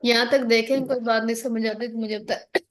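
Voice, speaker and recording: A woman's voice: fairly high pitch, fairly even intonation, normal speed. A woman with a Pakistani accent giving an online law lecture, explaining step by step, reading out articles and checking that students follow. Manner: instructional, checks understanding often, mixes in English legal terms. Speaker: law exam teacher.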